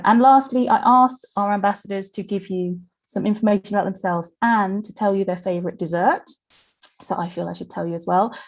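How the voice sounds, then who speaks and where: A woman's voice: medium pitch, a little mellow. A woman hosting an online webinar in a chatty way, with many fillers. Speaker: webinar host from the company. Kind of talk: presenting a webinar.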